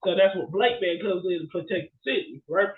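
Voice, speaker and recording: A man's voice: sits high, fairly quick, roomy sound, narrow, tinny audio. A man co-hosting a podcast, retelling movie scenes casually and animatedly, often checking in with his listener. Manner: animated storytelling with frequent "right?" check-ins. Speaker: podcast co-host.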